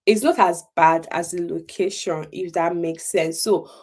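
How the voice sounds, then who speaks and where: Mellow Nigerian woman explaining on a livestream. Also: on the low side, steady tone, measured pace. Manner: emphatic, repeats key points, checks in with listeners. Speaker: health talk host. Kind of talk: casual live-stream explainer.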